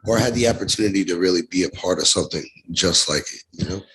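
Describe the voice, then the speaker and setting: A man with a raspy, hoarse voice, chatting casually as a guest in a music studio, laid-back and rambling with many fillers. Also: sits low, fast, a bit bright, poor audio. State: hoarse, losing his voice. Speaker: guest, venue crew member. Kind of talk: casual chat.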